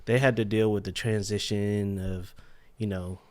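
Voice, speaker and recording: Relaxed man in his twenties, low voice. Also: unhurried. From Philippines. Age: 20s. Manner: relaxed, friendly, says man often. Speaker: podcast guest. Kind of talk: casual interview.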